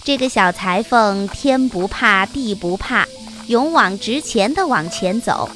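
A woman narrating a scripted fairy tale for children as the host, with expressive, deliberate storytelling. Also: medium pitch, faint music behind.

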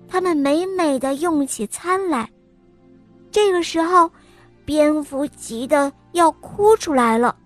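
A young woman narrates a children's bedtime story, sweet and sing-song with a gently coaxing lilt. Her voice is high and her pace unhurried. Soft music plays faintly behind her.

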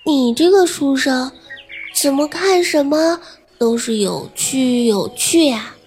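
A woman's voice: high voice, expressive, slow, a little mellow, faint music behind. A woman playing an innocent, playful young carp-spirit maiden in an audio drama, with an inquisitive manner. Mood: playful, curious. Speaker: voice actress.

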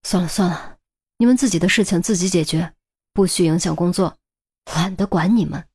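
女声演绎的干练严肃女警官. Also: female voice, fairly low pitch, moderate pace, a little mellow. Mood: exasperated. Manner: authoritative, clipped, no-nonsense. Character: firm, brisk senior policewoman, adult, exasperated mentor.

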